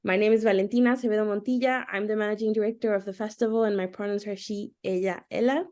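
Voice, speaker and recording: A woman's voice: mid-range voice, fairly even intonation, a little fast, darkish tone. A woman who is a festival managing director, opening an online panel in an upbeat, formal announcing manner over a video call. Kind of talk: scripted event introduction.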